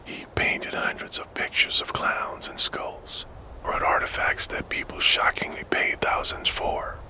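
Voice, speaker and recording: A man narrating true crime in a soft, fully unvoiced ASMR whisper, slow and measured, close to the microphone.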